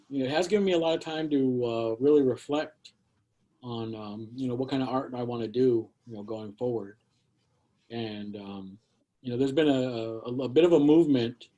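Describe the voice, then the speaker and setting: A middle-aged man speaks over a video call from a small studio shed. His tone is mellow and unhurried, and he wanders through his thoughts with plenty of fillers and restarts. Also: mid-range voice. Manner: rambling, many fillers and restarts. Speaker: guest artist. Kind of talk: casual conversational talk.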